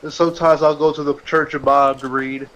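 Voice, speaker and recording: Slightly high-voiced man rambling through stories. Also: level tone, fairly quick, a bit bright, dull audio. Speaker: let's play gamer. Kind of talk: casual joking group chat while gaming.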